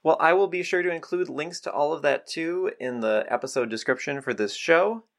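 American male podcast host with creaky voice. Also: on the high side, fairly quick. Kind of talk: conversational interviewing.

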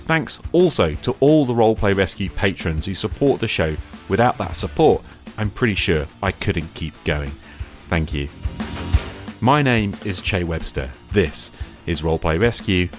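A man's voice: low voice, animated, normal speed, over music. A man speaking as a podcast host about tabletop roleplaying, addressing the listener directly and encouragingly.

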